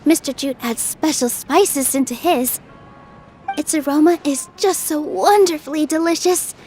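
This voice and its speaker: A woman's voice: high voice, unhurried, a little mellow. A woman playing a kind, modest young dancer in an anime video game, speaking politely and earnestly. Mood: eager. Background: music.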